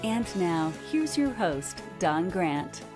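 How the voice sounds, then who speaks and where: A woman reading a scripted podcast introduction with a polished, measured delivery. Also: fairly low pitch, sing-song. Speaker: show announcer. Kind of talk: scripted promo voice-over. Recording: voice-over with music bed.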